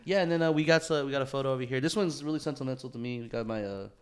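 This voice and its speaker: A man chatting casually as a podcast host, telling stories in an animated, slangy way and swearing freely. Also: mid-range voice, very fast. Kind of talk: casual chat, storytelling.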